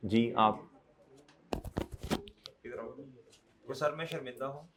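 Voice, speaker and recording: A man, lecturing accounting students in a hall and loudly teasing and scolding them. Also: mid-range voice, slow, noisy background. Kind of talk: lively classroom lecture.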